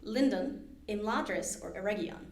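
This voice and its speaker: A woman hosting a library podcast, running a casual trivia quiz with quick asides and easy laughs. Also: mid-range voice. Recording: roomy echo with faint background hiss.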